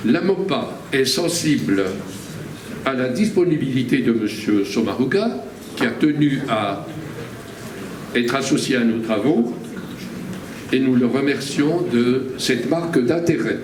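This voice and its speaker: A man's voice: medium pitch, background noise. Formal man introducing a speaker from afar. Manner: measured, formal, reading out a list. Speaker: conference president introducing the speaker. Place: large conference hall. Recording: distant mic in an echoey hall with audible ambience.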